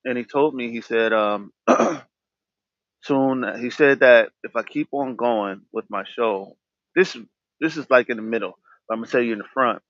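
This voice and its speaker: A man's voice: mid-range voice, normal speed, a bit bright, dry recording. A man hosting his own podcast, speaking candidly about himself with a stutter, restarting his words often. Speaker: podcast host. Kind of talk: candid personal talk. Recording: dull, lo-fi dry sound.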